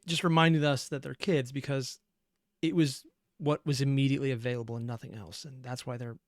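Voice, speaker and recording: A man's voice: medium pitch, steady tone, fairly quick, no echo, studio quality. A man hosting a reaction video, analyzing as he watches and often trailing off mid-thought. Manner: thinks aloud, trails off mid-sentence, analytical. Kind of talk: casual commentary reacting to a video.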